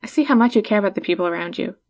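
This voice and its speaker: A woman's voice: medium pitch, animated, racing, lightly acted. Haughty, teasing female villain played by a woman. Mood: world-weary, earnest. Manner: condescending, teasing, addresses listener intimately. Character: haughty female supervillain, teasing, secretly caring. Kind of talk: ASMR roleplay acting.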